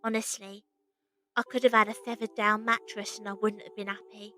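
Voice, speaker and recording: A woman's voice: mid-range voice, little pitch movement, normal speed, pushed and bright, natural voice. A woman playing a young, weary, plain-spoken circus runaway as narrator and lead of an audio drama. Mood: wistful.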